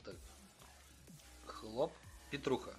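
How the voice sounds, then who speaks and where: A man hosting a live stream, talking briskly and addressing his viewers directly as he urges them to sponsor the channel. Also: medium pitch, darkish tone, breathy edge, soft background music. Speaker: streamer and host. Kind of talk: casual live-stream chat.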